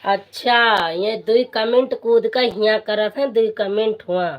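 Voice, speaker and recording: A woman with an Awadhi accent speaks in a hoarse voice, taking her time over each phrase. She chats casually with viewers, replying to their comments as the host of a folk singer's live stream. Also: medium pitch, unhurried, highs cut off. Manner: chatty, answers viewer comments.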